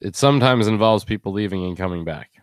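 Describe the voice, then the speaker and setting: A man's voice: lowish voice, fast, no room sound, clean studio sound. A man hosting a live stream in a casual, rambling, joking way. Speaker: host.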